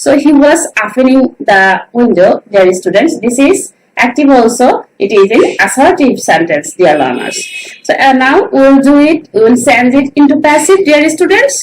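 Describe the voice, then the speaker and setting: A woman's voice: high-pitched, big pitch swings, fairly quick, darkish tone, slight background noise, low-quality recording. A woman with a Bangladeshi accent, a native Bengali speaker, teaching an online grammar lesson and repeatedly addressing her students directly.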